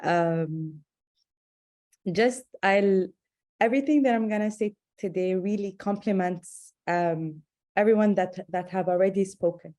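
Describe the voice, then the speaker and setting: A woman giving an online talk as an advocate, speaking with a stutter and many pauses. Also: medium pitch, mellow. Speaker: guest speaker, advocate for people who stutter. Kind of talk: webinar talk.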